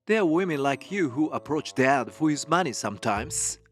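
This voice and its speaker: A man's voice: fairly high pitch, lively intonation, moderate pace, natural voice. A man voicing a spoiled, rude eighteen-year-old stepson with a mocking manner in a manga dub. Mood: sneering. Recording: music bed behind the voice.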